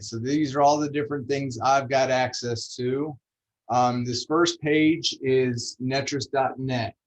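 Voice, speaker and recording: A man walks a group through a training session over a video call. His voice sits at an ordinary middle pitch, and he keeps things relaxed and chatty, dropping jokes as he goes. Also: poor audio. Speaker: MLS trainer. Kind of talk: casual online training session.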